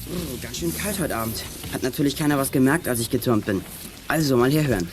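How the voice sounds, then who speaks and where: A man's voice: mid-range voice, animated, fast, over music, lightly acted. An actor playing a bold teenage boy detective who leads his gang in a German children's radio play, brash and commanding. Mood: confident, brisk.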